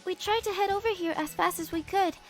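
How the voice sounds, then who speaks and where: A woman's voice: very high voice, brisk, soft background music. A woman voicing an eager, apologetic young team member in a visual novel game.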